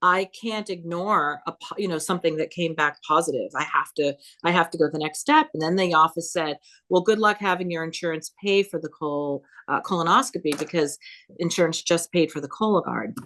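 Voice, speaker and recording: A woman's voice: sits low, fairly quick, a bit bright. A woman in her fifties speaking as a guest at a town health board meeting, giving an earnest personal account and quoting others along the way. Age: 50s. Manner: earnest, storytelling, quotes others in her own voice. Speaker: guest speaker, cancer survivor.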